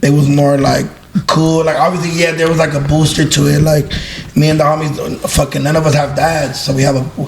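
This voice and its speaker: A man's voice: on the high side, little pitch movement, a little fast, creaky, a crowd in the background. A man in his twenties from Los Angeles, a guest on a casual podcast, talking loosely with lots of slang, fillers and swearing. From Los Angeles, United States. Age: 20s. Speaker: guest, online video creator.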